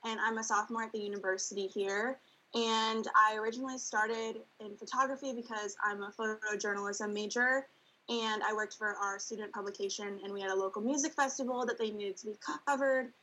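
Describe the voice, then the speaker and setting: Bright-voiced 19-year-old woman from Nebraska. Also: mid-range voice, steady tone, lo-fi sound. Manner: eager and fast-flowing, piling up details. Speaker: student photographer guest. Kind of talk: casual self-introduction.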